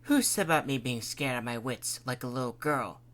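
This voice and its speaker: A man's voice: fairly quick, a bit bright, lightly acted. A man voicing a cheerful woman who captains a dirigible, in a raised, lilting voice. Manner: chatty, lilting, higher-pitched.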